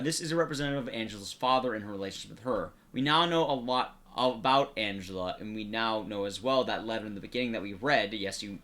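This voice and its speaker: A man's voice: mid-range voice, bright, forceful tone. A man giving casual, chatty gameplay commentary, explaining things as he goes. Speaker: video game commentator.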